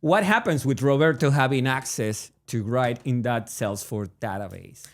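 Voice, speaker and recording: A man's voice: mid-range voice, normal speed. A man from Argentina with an Argentine Spanish accent, co-hosting a podcast in a casual, joking, rambling chat. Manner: joking, rambling, frequent restarts and laughs. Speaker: podcast co-host.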